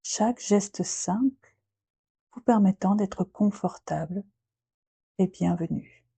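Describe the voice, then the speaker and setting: Female meditation guide: slow, soothing delivery with long pauses, soft breathy tone, close dry sound. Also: mid-range voice, no echo. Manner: gentle, unhurried, with long pauses between short phrases. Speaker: yoga nidra guide.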